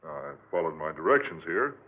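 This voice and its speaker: A man's voice: extremely low pitch, sing-song, a little fast. An actor playing a businesslike, impatient male motorist in an old radio drama. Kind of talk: 1940s radio drama acting. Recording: tinny old radio recording.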